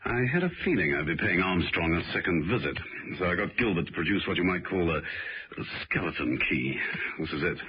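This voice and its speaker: An actor playing a suave, unruffled British gentleman sleuth in a radio drama, urbane and dryly understated, on an old radio recording. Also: low-pitched, animated, brisk, dull audio, slight background noise. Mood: brisk, confiding.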